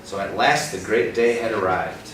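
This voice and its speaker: A young man reading a picture book aloud to a child, pausing often to ask gentle questions about the words. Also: lowish voice. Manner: expressive storybook reading broken by gentle teaching questions. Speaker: read-aloud host. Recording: roomy, distant mic with background noise.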